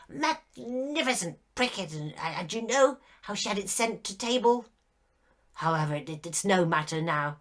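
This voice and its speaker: A woman's voice: fairly low pitch, bright, forceful tone. A woman voicing a petulant, weary ghost nobleman. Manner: petulant, then meek and weary. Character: petulant, lonely old male ghost nobleman.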